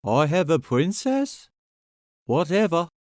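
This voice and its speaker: A man puts on a droopy, apathetic storybook peasant. He talks very slowly in a slightly high, dull-witted deadpan, utterly indifferent, in a dry recording. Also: no echo. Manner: flat, slow, deadpan, indifferent. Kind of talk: audiobook character voice.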